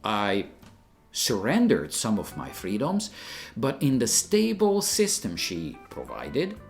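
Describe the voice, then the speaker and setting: A man's voice: lowish voice, huge pitch swings, takes it slowly, soft background music. An older man from Hungary, a retired teacher giving an emphatic, opinionated scripted narration.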